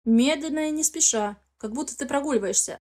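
A mysterious, unhurried man as a woman audiobook reader plays him, teasing and quietly commanding. The voice is mellow and calm. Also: sits high, pitched above the performer's own voice, natural voice. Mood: calm, guiding. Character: mysterious calm male stranger speaking through a drone.

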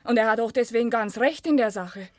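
Actress doing young silesian village girl in a radio play, plain-spoken and firm, highish voice, very dry recording. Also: brisk, no echo, lightly acted. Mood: earnest, resigned. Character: earnest, firm young village working woman. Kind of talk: German radio play acting in dialect.

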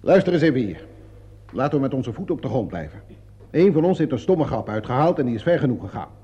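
A man's voice: medium pitch, very animated, fast talker, mellow, husky. An actor playing a commanding, exasperated theatre man in a radio play, brusque and authoritative. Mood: exasperated, firm. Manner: brusque, authoritative, takes charge. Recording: dull, muffled old radio play recording.

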